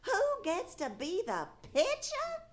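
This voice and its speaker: A woman's voice: very high-pitched, huge pitch swings, takes it slowly, full character voice. An actress playing a folksy, puzzled and mildly exasperated mother in an old-time radio comedy.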